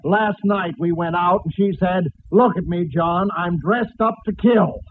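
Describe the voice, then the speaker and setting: An actor playing a blustering, pompous henpecked husband who complains and exclaims in 1940s radio comedy style. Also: male voice, high voice, unhurried, phone-quality sound. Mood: exasperated grumbling. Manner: complaining, exclamatory comic delivery. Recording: noisy, thin old-time radio recording.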